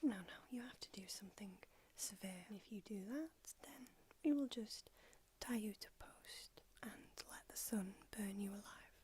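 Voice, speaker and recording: A woman whispering in an ASMR roleplay as an ancient, aloof female vampire doctor, unhurried and drily haughty. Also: mid-range voice, darkish tone, husky. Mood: stern. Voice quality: whispered throughout. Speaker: ASMR creator.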